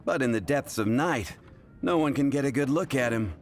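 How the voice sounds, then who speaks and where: An actor playing a chatty server in a video game, passing on local rumors in a casual, gossipy manner. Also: male voice, medium pitch, expressive, normal speed, a little hiss or hum, natural voice. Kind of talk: video game cutscene acting.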